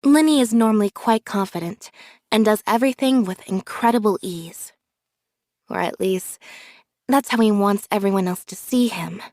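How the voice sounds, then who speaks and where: A woman voicing a reserved, observant young female magician's assistant in a video game, speaking in a flat, measured, deadpan way. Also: mid-range voice, dry recording, clean studio sound, natural voice. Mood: quietly thoughtful. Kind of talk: scripted video game character voice lines.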